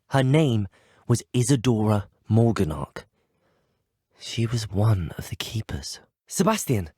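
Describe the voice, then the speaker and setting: Earnest young British wizard, husky. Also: male voice, medium pitch, darkish tone, no echo, studio quality. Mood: earnest, serious. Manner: polite, articulate, measured. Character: earnest, courteous, brave teenage male wizard student. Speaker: player character in a video game. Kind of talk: video game voice acting, scripted lines.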